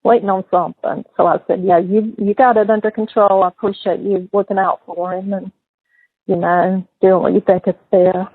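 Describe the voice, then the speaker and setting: A woman's voice: medium pitch, flat delivery, moderate pace, no room sound. A middle-aged woman talking casually on a recorded phone call. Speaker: mother on a call to her son in jail. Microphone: telephone.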